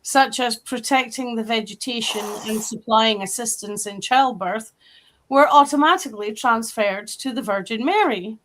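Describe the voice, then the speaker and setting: A woman with a northeast Scottish coastal accent talks through folklore and dialect in a relaxed group chat. Her voice sits a little high, and she carries the story along at an easy pace. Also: normal speed, rough recording. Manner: storytelling, explains local dialect.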